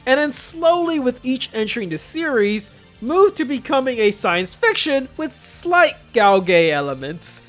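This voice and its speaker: Youtuber doing scripted commentary, super animated pitch, emphatic and exaggerating, faint music behind. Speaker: YouTube host. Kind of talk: scripted video commentary on visual novels.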